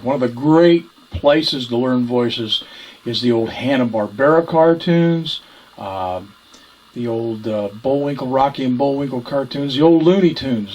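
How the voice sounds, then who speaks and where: Guy teaching voice stuff casually, mid pitch, kinda halting as he explains. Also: poor audio. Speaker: ventriloquism instructor. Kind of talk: informal instructional talk.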